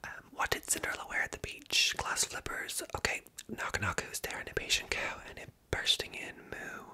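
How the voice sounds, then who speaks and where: A woman whispering softly as she reads jokes aloud and adds wry, self-mocking asides, stumbling over words now and then. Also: normal speed. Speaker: ASMR creator. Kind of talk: whispered ASMR commentary.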